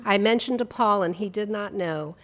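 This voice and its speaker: A woman introduces speakers at a community workshop in a church hall, speaking into a microphone. Her voice sits in a middle range, and she reads out a bio with playful, warm asides. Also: medium pitch, moderate pace. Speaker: panel moderator.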